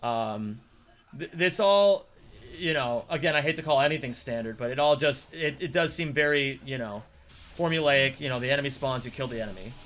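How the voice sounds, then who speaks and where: A man's voice: mid-range voice, brisk, fairly bright tone. A man hosting a casual chat about a gaming video, talking with many ums and restarts and plainly admiring his guest's work. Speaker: host.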